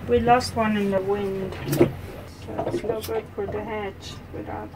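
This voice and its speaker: Slow soft-spoken woman on a noisy boat. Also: mid-range voice, little pitch movement. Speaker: boat co-owner. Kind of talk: casual chat. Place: on board a sailboat.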